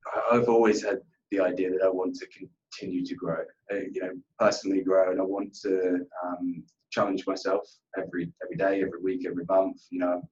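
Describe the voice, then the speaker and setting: A man's voice: sits low, darkish tone, dull audio, a little hiss or hum. A man answering questions in a workplace interview, earnest and conversational, often repeating himself and padding with "you know". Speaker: account manager being interviewed.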